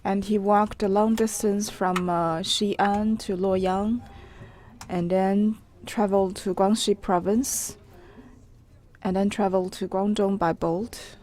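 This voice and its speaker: A woman giving spontaneous explanatory commentary, telling a story step by step in halting phrases. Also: mid-range voice, fairly even intonation, a little mellow.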